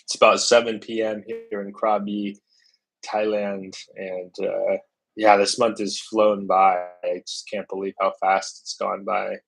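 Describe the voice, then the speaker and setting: A man's voice: on the low side, flat delivery, unhurried, vocal fry, rough recording. A man from the United States chatting casually on a travel livestream, thinking aloud with lots of fillers and restarts. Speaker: travel vlogger.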